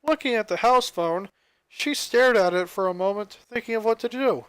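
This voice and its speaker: Male story narrator, high-pitched voice, close dry recording. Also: moderate pace, clear, resonant tone, no room sound. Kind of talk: scripted story narration, fan fiction reading.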